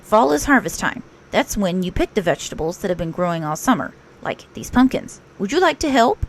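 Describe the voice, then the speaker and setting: A woman's voice: medium pitch, big pitch swings, a little fast. A woman voicing a practical, slightly bossy but friendly male rabbit in a children's story, with emphatic, instructive delivery.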